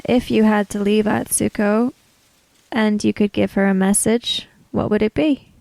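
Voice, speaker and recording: A woman playing a calm, courteous female samurai in a tabletop game, measured, formal and reserved as she questions a spirit. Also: mid-range voice, gravelly, no room sound, low-quality recording. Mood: gentle, solemn.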